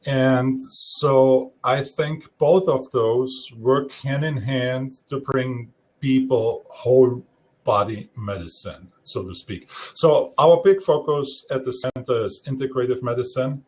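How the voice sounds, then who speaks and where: Middle-aged austrian guy talking through his work, calm steady delivery, accent clear. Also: mid-range voice. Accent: Austrian German. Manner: explanatory, measured, some restarts. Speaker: guest speaker. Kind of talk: live-streamed talk.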